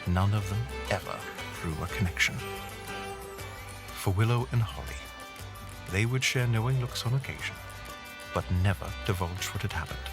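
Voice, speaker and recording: A man's voice: on the low side, moderate pace, long pauses, over music, natural voice. A man reading scripted storybook narration as the narrator of an audio drama.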